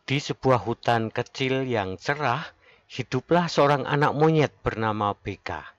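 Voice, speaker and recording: A man reading as an expressive narrator of a children's storybook, in a dull lo-fi recording. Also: medium pitch, unhurried, background noise, voice pushed up, lightly acted. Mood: storytelling. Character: children's storybook narrator telling a little monkey's tale.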